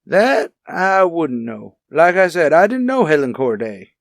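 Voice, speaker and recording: A man playing a defensive, fast-talking union official with hedging, repetitive patter in a radio detective drama. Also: a little high, big pitch swings, gravelly, dry recording, studio quality, exaggerated voice. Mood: flustered. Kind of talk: old-time radio detective drama acting.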